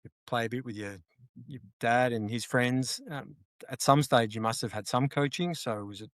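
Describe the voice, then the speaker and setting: A man's voice: mid-range voice, fairly even intonation, moderate pace, fairly bright tone, dry recording. A man asking questions in a casual, conversational interview. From Australia.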